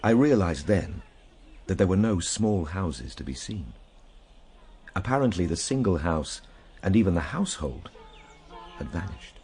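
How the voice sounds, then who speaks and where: An actor playing a reflective gentleman traveller, narrating his journey in a measured, literary, solemn manner for a radio drama. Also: deep voice, lively intonation, a little hiss or hum.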